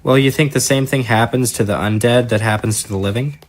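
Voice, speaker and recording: A man's voice: medium pitch, fairly quick, a little hiss or hum, natural voice. A man playing a thoughtful seventeen-year-old undead son in an audio drama, earnest and inquisitive. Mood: questioning.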